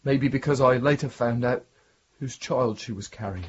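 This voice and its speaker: Breathy, haunted young condemned man played by an actor. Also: medium pitch, normal speed, muffled recording, lightly acted. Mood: pleading, guarded. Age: young adult. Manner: deferential, wounded, reluctant to confide. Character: haunted young condemned prisoner protesting his innocence. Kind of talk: radio drama acting.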